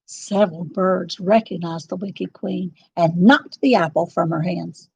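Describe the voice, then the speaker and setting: A woman narrating a children's storybook in an expressive, dramatic and deliberate style. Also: lowish voice, vocal fry, slightly rough, no room sound, rough recording.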